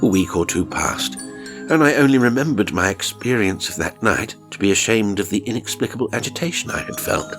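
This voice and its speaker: A man's voice: on the low side, expressive, brisk. A man reading a first-person horror story as scripted narration, dramatic and suspenseful in his delivery. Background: music.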